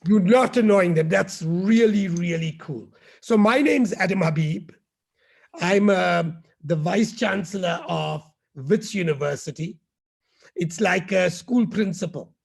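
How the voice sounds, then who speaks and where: A middle-aged man with a raspy, gravelly voice reads a children's story aloud at a slow, unhurried pace. He talks to his young listeners in a friendly way between the lines. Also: sits high, a little mellow. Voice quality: gruff, scratchy. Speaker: university head reading to children.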